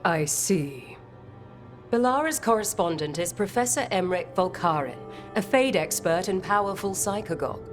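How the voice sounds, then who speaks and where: A woman's voice: mid-range voice, lively intonation, moderate pace, soft background music, close to an everyday voice. An actress playing a composed, scholarly lady necromancer in a video game, speaking formally and precisely. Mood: composed, ominous.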